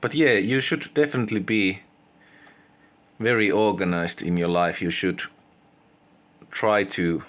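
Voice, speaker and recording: A man with a Finnish accent giving casual, unscripted opinions in halting English, pausing mid-sentence. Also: on the low side, fairly bright tone. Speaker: video creator giving opinions.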